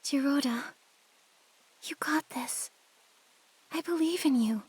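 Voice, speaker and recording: A young woman playing a gentle but resolute investigator heroine in a video game cutscene, earnest and composed. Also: high-pitched, slow, dry recording, poor audio. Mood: soft, compassionate. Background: background music.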